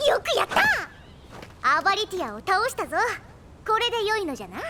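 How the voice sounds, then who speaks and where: A woman's voice: extremely high pitch, very animated, fairly quick, very noisy. A woman voicing a small, haughty, girlish game character who talks in a pompous, archaic old-timer manner, in anime style. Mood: smug, lordly. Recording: music under the voice. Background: loud game music.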